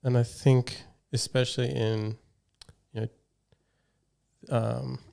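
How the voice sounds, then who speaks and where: A man's voice: medium pitch, little pitch movement, slow pace, creaky, warm tone. A man hosting a casual interview podcast, talking in a laid-back, reflective way and often using "like". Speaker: podcast host.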